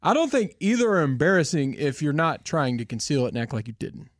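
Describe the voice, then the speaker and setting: Casting: male co-host, quick delivery, slightly high pitch, loose casual banter. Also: sing-song, no echo, clean studio sound. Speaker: podcast co-host.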